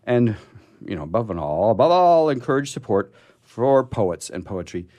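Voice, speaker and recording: A man with a low voice and a sore throat hosts a radio show in a loose, conversational way. His pitch moves around a lot as he stumbles, backs up and restarts his phrases. The sound is close and dry. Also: big pitch swings, a little mellow, dry recording, dull audio. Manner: halting, often stammering and restarting mid-phrase. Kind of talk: casual unscripted radio talk.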